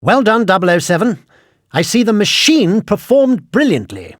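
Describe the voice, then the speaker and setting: Fussy high-voiced British gadget quartermaster. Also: male voice, big pitch swings, normal speed, no room sound, clean studio sound. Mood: haughty briefing. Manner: pompous, clipped, sardonic nagging. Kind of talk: scripted video game mission briefing.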